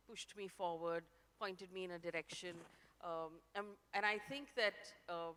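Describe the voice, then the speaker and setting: A woman in her forties with an Indian English accent, a journalist speaking on stage, articulate and measured. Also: medium pitch, fairly bright tone. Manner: articulate, measured, light laughs. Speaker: journalist guest. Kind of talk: conference fireside chat. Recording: reverberant conference hall.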